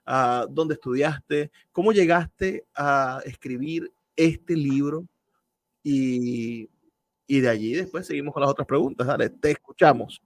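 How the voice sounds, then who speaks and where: A man's voice: mid-range voice, a little slow. A man with a Venezuelan accent presenting and interviewing as a radio host, effusive and wordy, repeating words for emphasis.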